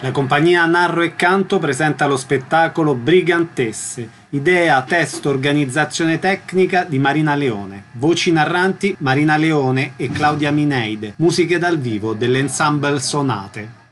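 A man working as a radio announcer, giving scripted station notices and a show introduction in a measured, formal read. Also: a little high, fairly bright tone, a little hiss or hum.

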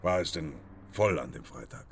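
An actor playing a methodical, polite police detective in a radio drama, matter-of-fact and probing. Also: male voice, a bass voice, expressive, moderate pace, vocal fry, husky, exaggerated voice. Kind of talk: naturalistic radio drama acting.

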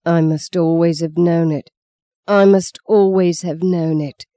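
An embittered young man, acted by a male narrator, wounded and scornful. He sounds smooth and close in a dry audiobook recording. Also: on the high side, steady tone, unhurried, mellow, dry recording, close to an everyday voice. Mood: bitter resignation. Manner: mocking, embittered. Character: bitter, scornful, wounded young man defying his father.

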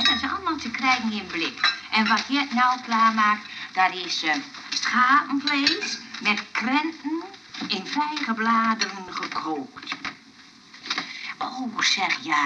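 An actress playing a fussy, effusive housewife hostess in a Dutch radio play, coaxing and exclaiming. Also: female voice, mid-range voice, expressive, measured pace, dull audio, lightly acted. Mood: chatty. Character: fussy, excitable housewife hostess, chattering and eager to please. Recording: noisy old radio play recording.

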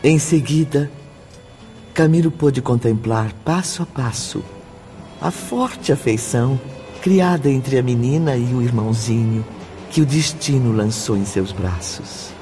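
A man's voice: medium pitch, measured pace, warm tone, soft background music, natural voice. A man with a Brazilian accent giving solemn, formal scripted narration for a radio soap opera.

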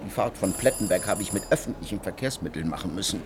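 An actor playing a sardonic elderly jurist, dry and clipped in a radio play. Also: male voice, deep voice, lively intonation, fast talker, background noise. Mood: irritated. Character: sardonic elderly male jurist, cold and evasive.

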